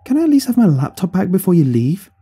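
A man's voice: medium pitch, wildly swooping pitch, fairly quick, dark tone, breathy edge, soft background music, exaggerated voice. A man voicing a sulky, exasperated boy who whines and complains in a dramatized story. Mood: complaining. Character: sulky, exasperated boy arguing with his mother. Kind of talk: scripted story dialogue.

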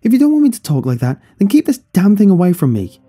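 A man's voice: mid-range voice, huge pitch swings, brisk, warm tone, breathy edge, faint music behind, heavily acted. A man voicing a sulky, exasperated boy who whines and complains in a dramatized story. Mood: angry. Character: sulky, exasperated boy arguing with his mother. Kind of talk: scripted story dialogue.

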